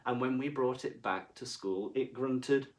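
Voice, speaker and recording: A man reading to children as a storyteller, with expressive, deliberate and dramatic phrasing. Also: mid-range voice. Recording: voice far from the mic.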